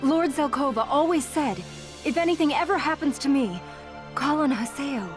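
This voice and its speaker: A woman's voice: high-pitched, moderate pace, natural voice. An actress voicing an earnest young woman of a guild who formally begs for help. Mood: urgent. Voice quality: formal, polite. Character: young female guild member, earnest and pleading. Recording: game cutscene with music underneath.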